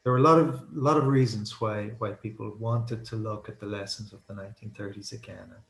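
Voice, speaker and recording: Low-voiced man, halting and reflective. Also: steady tone, creaky, a bit bright. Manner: thoughtful, halting, repeats words while searching. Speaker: translator and writer. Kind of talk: seminar interview answers. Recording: echoey room.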